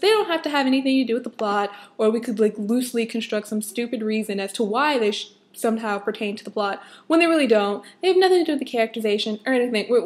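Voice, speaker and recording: Young female vlogger, somewhat high pitch, a touch hoarse; casual, exasperated, opinionated rant delivery. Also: darkish tone. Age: young adult. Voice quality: a bit husky. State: slightly hoarse. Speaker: vlogger, fan of a TV show.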